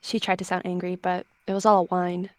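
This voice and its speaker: A woman's voice: fairly low pitch, completely flat delivery, fairly quick, no echo. A woman narrating a story in the third person for a game roleplay.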